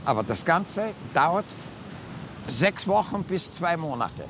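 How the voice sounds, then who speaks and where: Ein Mann erklärt als Experte in einem Interview im Freien und schmunzelt dabei. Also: male voice, on the high side, big pitch swings, slow. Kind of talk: explaining in an outdoor interview. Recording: outdoor interview with clear traffic-like noise.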